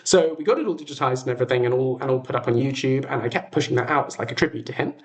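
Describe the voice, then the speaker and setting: A British man speaks at a middling pitch in a chatty, informal livestream style. He tells stories conversationally, hesitating and breaking off to rephrase as he goes. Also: rapid-fire. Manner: chatty, hesitant, self-interrupting. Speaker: livestream host. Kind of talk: casual livestream chat, storytelling.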